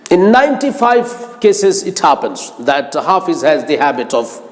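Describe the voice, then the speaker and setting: Male religious lecturer, high voice with lively swings, emphatic and instructive. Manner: deliberate, emphatic, repeats phrases. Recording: long hall-like reverb.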